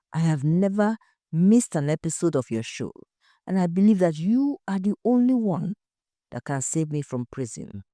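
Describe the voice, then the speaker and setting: Low, earnest accused husband, read by a woman. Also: dark tone, dry recording, clean studio sound. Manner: earnest, defensive. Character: stubborn, pleading husband accused of murder.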